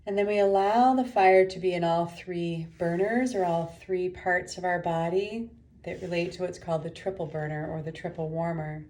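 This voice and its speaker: A woman leading a guided meditation as a teacher, giving gentle, unhurried instructions with pauses. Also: fairly low pitch, fairly even intonation.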